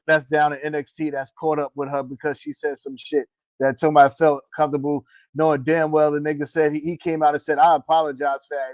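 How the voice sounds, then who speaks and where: Guy podcast host, kinda higher voice, cusses a lot, blunt slangy wrestling talk, dry close sound. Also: no room sound, low-quality recording. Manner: blunt, brash, heavy slang and cursing. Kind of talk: casual profane chat about pro wrestling.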